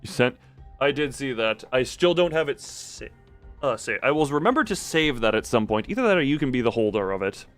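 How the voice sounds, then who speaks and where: A man's voice: medium pitch, lively intonation, a little fast, faint music behind. A man hosting a livestream, rambling casually and jokingly as he goes off on tangents and talks back to chat. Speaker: livestream host, streamer.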